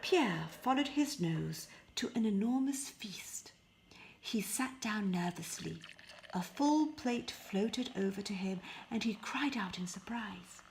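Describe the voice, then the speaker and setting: Slow-paced woman narrating a fairy tale. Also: mid-range voice, animated, gravelly, a little mellow, a little hiss or hum, poor audio. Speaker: storybook reader.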